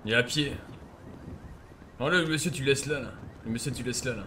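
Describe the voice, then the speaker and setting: A man's voice: medium pitch, a little fast, bright, forceful tone, slight background noise. A man improvising casual game roleplay as a crime boss, bossy and slangy, with swearing.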